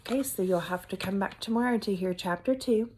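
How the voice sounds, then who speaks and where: A woman teacher reading a children's chapter book aloud, with expressive storybook delivery. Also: medium pitch, fairly quick, a little hiss or hum.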